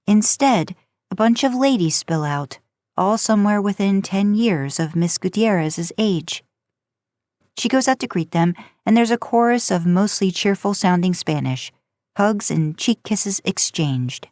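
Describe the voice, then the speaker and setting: A woman's voice: medium pitch, lively intonation, unhurried, a little mellow, no room sound. A woman reading a story aloud in audiobook-style narration. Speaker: podcast host and fan fiction narrator.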